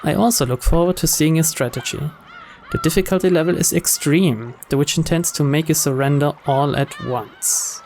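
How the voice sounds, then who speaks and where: A man's voice: fairly high pitch, normal speed, a little mellow, slight background noise. A man hosting a let's play, reading a visual novel's narration aloud. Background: game music.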